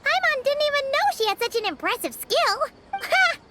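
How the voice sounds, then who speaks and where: Brief: tiny chirpy girlish game companion, very high and bright, bouncy. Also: normal speed, slight background noise, exaggerated voice. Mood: excited. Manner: excitable, talks about herself in third person. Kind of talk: game voice acting.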